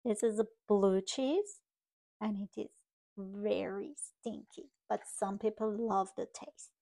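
A woman reading a picture book aloud to young children, in simple, deliberate phrases as a storytime host. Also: medium pitch, creaky, warm tone.

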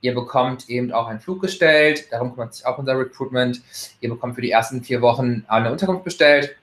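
A man's voice: medium pitch, a little fast, fairly bright tone. A man in his thirties from Germany gives a casual recruitment testimonial over a laptop webcam, hesitating often with fillers and restarts. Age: 30s. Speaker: employee giving a testimonial. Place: bright room at home, indoors. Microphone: laptop webcam, video call. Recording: echoey room, laptop mic.

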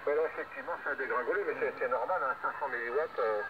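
A man's voice: mid-range voice, rapid-fire. A man heard over radio, chatting casually in a rambling, repetitive, hesitant way. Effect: radio transmission. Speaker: amateur radio operator. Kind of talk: casual radio contact chat. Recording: distant muffled radio speaker, very noisy. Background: loud radio and outdoor noise.

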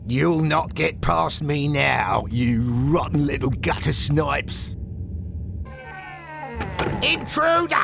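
An actor with a British accent playing a mean old caretaker in a video game, muttering menacingly in a gravelly voice and doting on his cat. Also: on the high side, big pitch swings, slow, long pauses, over music, heavily acted. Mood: angry, threatening. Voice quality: gravelly, creaky. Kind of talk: video game character acting.

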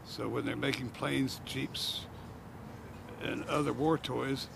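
An older man answers questions outdoors in a slow, halting, blunt manner. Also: mid-range voice, fairly even intonation, gravelly. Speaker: interviewee, longtime observer. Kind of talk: outdoor street interview. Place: outdoors by a brick building. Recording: outdoor noise behind.

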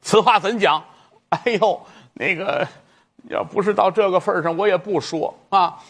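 A man voicing an elderly mother who pleads in court, crying out her lament in a drawn-out sing-song. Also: high-pitched, fairly bright tone, pitched above the performer's own voice. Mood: pleading. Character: wailing grief-stricken old mother pleading in court.

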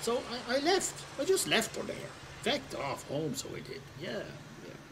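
An actor playing an older Irish pensioner, roguish and callous, telling a rambling, sweary, wry anecdote in a casual dramatic monologue. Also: male voice, high voice, wildly swooping pitch, unhurried, background noise, exaggerated voice. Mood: wry, amused. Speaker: storyteller.

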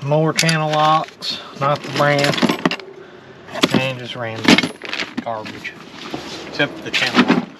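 A man's voice: slow, pauses often. A man in his thirties chatting casually in short, offhand remarks while sorting through boxes. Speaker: storage unit reseller. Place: open storage unit doorway, outdoors. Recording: noisy outdoor camera audio, vehicle rumble.

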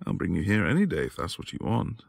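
Quiet, devoted academic husband male performer for boyfriend audio drama; husky, expressive delivery, hesitant and tender. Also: sits low, fast talker, heavily acted. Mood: fond, gently teasing. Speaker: audio roleplay voice actor. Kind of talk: intimate boyfriend ASMR roleplay acting.

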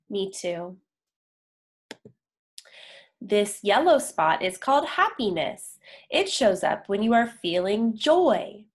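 Slow-paced woman reading a picture book. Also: mid-range voice. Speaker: children's picture book reader. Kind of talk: read-aloud storytelling for young children. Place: indoor room, on a video call.

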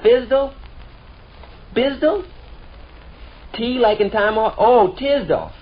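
Indignant, animated American teenage boy. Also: very high-pitched, takes it slowly, heavily acted. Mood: exasperated. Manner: exasperated, mock-formal, wordy. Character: indignant fourteen-year-old high school boy, bluffing and dramatic. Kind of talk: 1940s radio comedy acting. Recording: dull, muffled 1940s radio recording.

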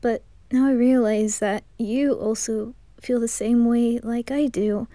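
Woman playing a mellow, tender boy in love. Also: a little high, fairly even intonation, a little slow, no echo, natural voice. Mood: earnest. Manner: gentle, breathy, often near whispering. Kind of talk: amateur romantic ASMR roleplay.

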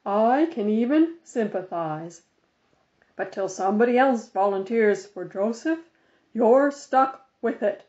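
A woman's voice: fairly high pitch, lively intonation, takes it slowly, a little mellow. A minister father played by a woman reader speaks in a storybook. He is emphatic and matter-of-fact, firm yet sympathetic. The sound is slightly lo-fi. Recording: slightly dull lo-fi recording.

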